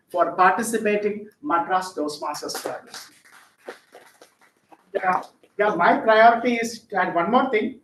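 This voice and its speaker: A man from Kerala with a Malayali accent and Malayalam as his first language, introducing himself as a guest at a club meeting, pausing often as he searches for words. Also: sits high, a bit bright. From Central Kerala, India. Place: meeting hall. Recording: echoey hall, distant mic.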